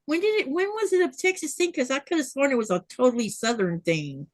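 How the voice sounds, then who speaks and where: A middle-aged woman with a somewhat high voice hosts a relaxed sewing livestream. She rambles from one story to the next in a loose, chatty way and breaks off to read out viewer comments. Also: brisk, no room sound, studio quality. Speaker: livestream host. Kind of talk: casual chat during a sewing livestream.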